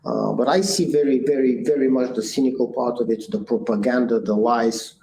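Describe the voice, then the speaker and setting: Man, 60s, Bulgarian accent, earnest interview guest, many fillers, mellow tone, echoey room. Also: medium pitch, fairly quick, creaky. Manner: earnest, thoughtful, frequent "uh" fillers and restarts. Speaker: guest entrepreneur. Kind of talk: conversational interview answers. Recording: echoey room with faint noise.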